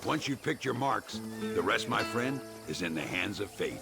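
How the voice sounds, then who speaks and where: Gravelly old gunslinger. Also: male voice, lowish voice, very animated, normal speed, over loud music. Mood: instructive. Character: genial, unflappable old gunslinger gambler, courteous and wry. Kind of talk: video game cutscene acting.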